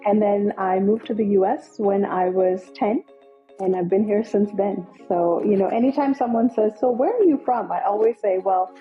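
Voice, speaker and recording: A woman's voice: mid-range voice, moderate pace, phone-quality sound, faint music behind. A woman film director from Nepal, speaking as an interview guest in an upbeat, enthusiastic way with many fillers. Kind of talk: casual interview.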